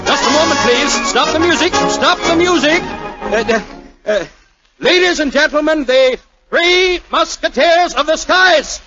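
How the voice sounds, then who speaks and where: Fussy flustered gentleman, pompous, very animated pitch, 1940s radio comedy, dull vintage recording with music. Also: unhurried. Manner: pompous, dithering speechmaker. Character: fussy, flustered, long-winded older gentleman hosting a rally.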